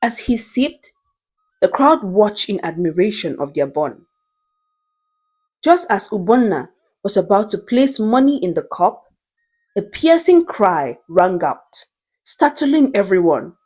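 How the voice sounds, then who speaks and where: A woman narrating a scripted story in a deliberate, dramatic storytelling style. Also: mid-range voice, sing-song, a little mellow.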